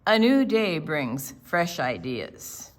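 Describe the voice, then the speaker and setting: An older woman reading a picture book aloud to children, expressively, pausing to explain the pictures. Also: low-pitched, very animated. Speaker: storytime reader.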